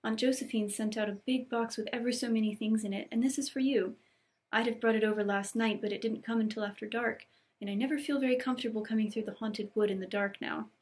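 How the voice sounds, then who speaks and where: A woman's voice: a little high, steady tone, fast talker, darkish tone, close to an everyday voice. A woman reading as chatty, practical young girl. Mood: breathless, eager. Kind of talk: storybook read-aloud.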